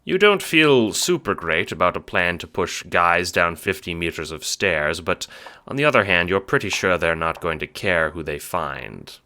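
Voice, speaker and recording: Brief: male audiobook narrator, deep and bright-edged, wry, dramatic delivery of a scripted story. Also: sing-song, normal speed.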